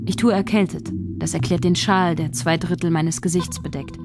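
A woman's voice: fairly low pitch, moderate pace, music in the background, lightly acted. An actress playing a shy young woman scriptwriter who narrates her own story in a radio drama. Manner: inner-monologue narration, urgent in scenes. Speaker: narrator and protagonist.

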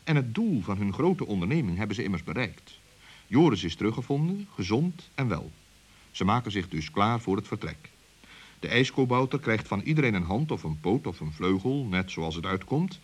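Expressive, husky male children's storyteller. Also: fairly low pitch, moderate pace. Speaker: storyteller, narrator. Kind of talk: scripted children's story narration.

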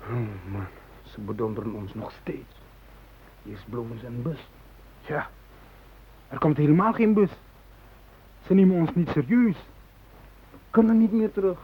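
An actor in a radio play playing a fanatical, impatient militant hostage-taker who speaks in an emphatic, agitated, declamatory way. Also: male voice, on the high side, a little slow, pauses often, phone-quality sound. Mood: bitter, agitated. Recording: thin, noisy old radio recording.

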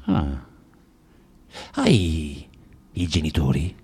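An actor playing a bitter, self-loathing drinker who moralizes haltingly and insistently in a radio drama. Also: male voice, rumbling low, wildly swooping pitch, a little mellow, breathy edge, full character voice. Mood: probing, subdued. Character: bitter, preachy, self-loathing male drinker lecturing a young prostitute.

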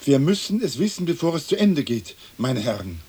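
An actor playing a dignified, earnest lord in a radio play, formal and solemn, with hiss on the old recording. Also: male voice, on the high side, very animated, moderate pace, dark tone, slightly rough. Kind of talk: stereo radio play acting.

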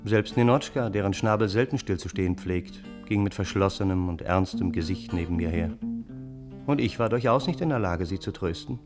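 An actor playing a dejected gentleman who narrates his troubles in a scripted radio play. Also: deep voice, moderate pace, over music, lightly acted. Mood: weary, dejected.

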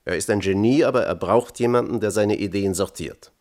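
An actor playing a thoughtful, conscience-troubled physicist testifying as a witness in a German radio play, measured and candid. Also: male voice, on the low side, a little mellow, lightly acted.

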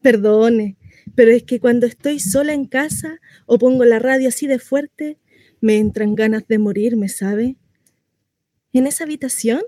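A woman's voice: on the high side, measured pace, dark tone, dry recording, natural voice. A woman playing a lonely, naive housewife in a theatrical radio monologue, gossipy, rambling and coyly confiding in a neighbor. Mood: bright, neighborly. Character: chatty lonely housewife, naive, insistently cheerful about her confinement.

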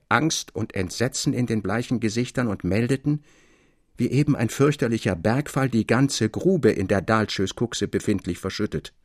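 Gravelly male literary narrator, dry sound. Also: fairly low pitch, no room sound. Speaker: narrator, reader of literary tale. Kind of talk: expressive literary audiobook narration. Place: dry studio.

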